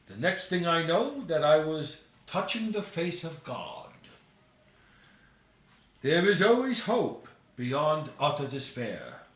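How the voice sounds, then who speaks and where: A man's voice: on the high side, very animated, slow pace, a bit bright, roomy sound, recorded from a distance, exaggerated voice. A man voicing a redeemed, hopeful ghost in a storybook reading, speaking in grand, solemn, old-fashioned phrases. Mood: solemn, grateful.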